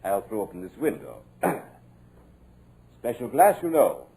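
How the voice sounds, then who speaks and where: A man's voice: on the low side, wildly swooping pitch, a little slow, dark tone, slightly rough, heavily acted. A man playing a sinister male hypnotist villain in an old radio drama, silky and taunting. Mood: gloating. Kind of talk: 1940s radio drama acting.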